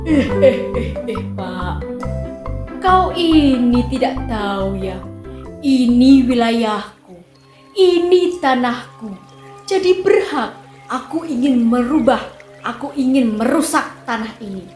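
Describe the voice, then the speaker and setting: Woman playing a greedy boastful stranger man, taunting, high voice, slow, echoey room. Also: animated, a little mellow. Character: greedy boastful male stranger grabbing land. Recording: roomy echo, faint music.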